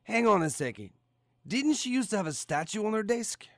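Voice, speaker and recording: A cocky, sleazy slacker security guard performed by a man for an audio drama. His flippant voice sits a bit high for a man and moves around animatedly, recorded very dry. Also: big pitch swings, normal speed, a bit bright, dry recording. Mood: suspicious.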